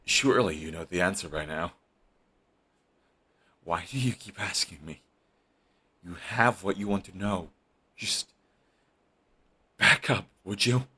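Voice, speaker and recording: A man's voice: low voice, a little slow, pauses often, slightly rough, exaggerated voice. A man voicing a brooding villain who is defensive and lovestruck, talking close and intimately to the listener. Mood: heated, defensive. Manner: intimate, shifts between hushed and heated. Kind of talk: audio roleplay monologue.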